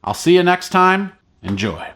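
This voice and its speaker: A man's voice: sits high, very animated, unhurried, loud music behind the voice. A man giving a casual, encouraging play-along ukulele tutorial in an easygoing, informal way. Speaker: ukulele teacher and online video host.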